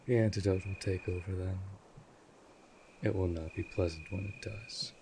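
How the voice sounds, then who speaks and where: A man playing a cold, possessive vampire master in an audio drama, speaking in a formal, commanding, measured way. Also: deep voice, fairly even intonation, gravelly, mellow, slight background noise. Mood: stern, menacing. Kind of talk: scripted roleplay audio drama.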